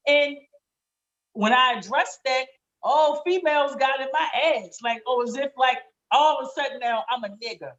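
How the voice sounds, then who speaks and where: A woman with a raspy voice speaking candidly and emphatically in casual, slangy podcast conversation, swearing freely. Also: high voice, normal speed, a bit bright, lo-fi sound. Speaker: podcast guest.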